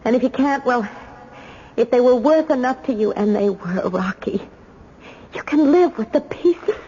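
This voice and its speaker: An actress playing a devoted, sorrowful yet resolute young woman, halting and heartfelt, in a radio drama. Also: fairly high pitch, expressive, warm tone. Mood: tender, devoted. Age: young adult. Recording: dull noisy old radio recording.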